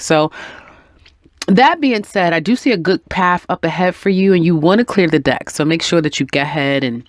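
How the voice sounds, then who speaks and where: A woman's voice: sits low, no room sound, studio quality. A woman with a head cold, her voice going in and out, giving a chatty, encouraging weekly tarot reading. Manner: chatty and encouraging, with frequent ums and little laughs. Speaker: tarot reader.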